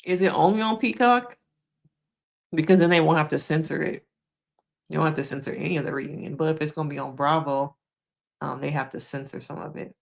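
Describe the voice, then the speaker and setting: A woman's voice: deep voice, fairly quick, gravelly, long pauses. A woman hosting a casual live stream, chatting frankly with viewers, laughing often, and losing her voice.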